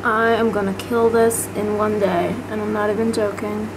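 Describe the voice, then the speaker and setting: A woman's voice: mid-range voice, level tone, normal speed, noisy background. A woman in her twenties chatting enthusiastically through a casual cooking vlog in a small apartment kitchen, talking to a handheld camera. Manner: bubbly, enthusiastic, tastes food on camera. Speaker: vlogger.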